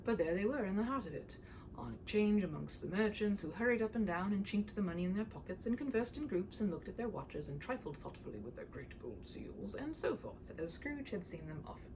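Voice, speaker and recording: Lady doing a read-aloud, really lively up and down voice, playful n dramatic, bit of noise behind. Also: medium pitch, fairly quick. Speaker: channel host reading a classic aloud. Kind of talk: chatty host talk and expressive storybook narration.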